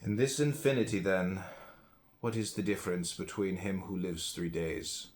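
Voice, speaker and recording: A man reading classical philosophy aloud in a deliberate, declamatory manner with emphatic pauses. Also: deep voice, animated, a little mellow. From United States. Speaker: reader, podcast host.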